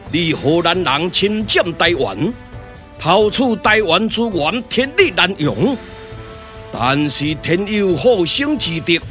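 A man's voice: sits high, a bit bright, voice pushed down, exaggerated voice. A man with a Taiwanese accent playing an imposing, righteous rebel leader, solemn and formal, declaiming in a puppet radio drama. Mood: stern. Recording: music under the voice.

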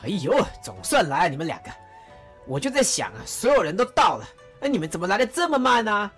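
A woman voicing an impatient, eager male warlord who talks in a fussy, hurried way. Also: medium pitch, big pitch swings, soft background music, natural voice.

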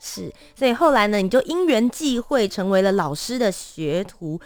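A woman's voice: mid-range voice, lively intonation, normal speed, faint music behind. A woman with a Taiwanese Mandarin accent, hosting an interview in a conversational style. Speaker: interview host.

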